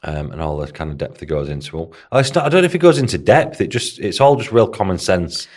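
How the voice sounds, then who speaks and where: A man's voice: low voice, sing-song, fast talker, a little mellow. A man with a Hull accent, co-hosting a podcast about bodybuilding and coaching in a rambling, casual way, swearing now and then and laughing often.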